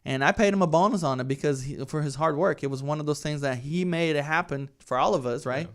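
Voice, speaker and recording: Brazilian guy in his 30s, smooth voice, laid back podcast chat, keeps saying right?. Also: on the high side, fast. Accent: Brazilian Portuguese. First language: Portuguese. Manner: conversational, tags statements with "right?". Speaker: real estate team leader, guest. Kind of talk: casual podcast interview.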